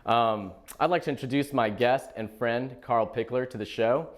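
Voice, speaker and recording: A man's voice: medium pitch, moderate pace. A man hosting an interview show, asking polite questions in a hesitant way with repeated words and filler sounds. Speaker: host.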